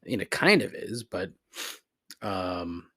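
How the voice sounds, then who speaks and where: A man's voice: deep voice, expressive, takes it slowly, pushed and bright, breathy edge. A man hosting a podcast, rambling casually and profanely as he responds to listeners with conversational gripes. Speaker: podcast host. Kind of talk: casual rambling solo commentary, answering voicemails.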